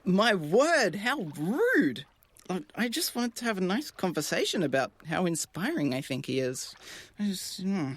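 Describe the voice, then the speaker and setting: A woman's voice: lowish voice, big pitch swings, unhurried, slightly rough, exaggerated voice. A woman playing a gushing, tactless passer-by who stammers and is easily flustered, in an audio drama. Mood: affronted. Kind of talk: audio fiction acting.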